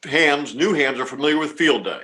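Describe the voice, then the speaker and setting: A man's voice: a little high, normal speed, sharp tone. A man being interviewed as a contest organizer, explaining things informally and reassuringly, often repeating words and phrases.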